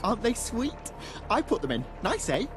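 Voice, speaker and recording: An actress playing a gentle, cheerful fantasy game player with a dry, teasing manner in a video game. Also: female voice, fairly high pitch, big pitch swings, normal speed. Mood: mildly teasing. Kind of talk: video game cutscene acting. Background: music.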